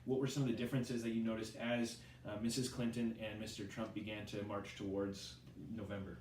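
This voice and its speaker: A man's voice: lowish voice, little pitch movement, darkish tone, far from the mic. A man asks long, rambling interview questions, stopping often to restart his sentences and dropping in short acknowledgments. He sounds set back from the mic in an echoey room with faint background hiss. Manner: halting, many ums and restarts, brief backchannels.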